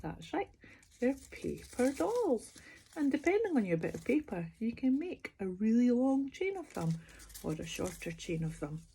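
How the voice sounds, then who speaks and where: A woman reading a children's picture book aloud as a bedtime storyteller, expressive and sing-song, putting questions to young listeners. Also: fairly high pitch, moderate pace, slight background noise.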